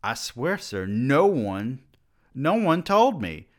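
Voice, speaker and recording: A man's voice: mid-range voice, big pitch swings, slow pace. A man voicing a nervous young male college student who stammers and pleads deferentially. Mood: pleading.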